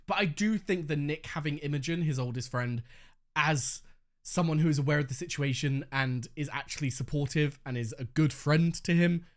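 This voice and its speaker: A young man hosting a reaction video in casual, candid chat, slightly hoarse as he is losing his voice. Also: a little high. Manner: chatty, candid, swears freely.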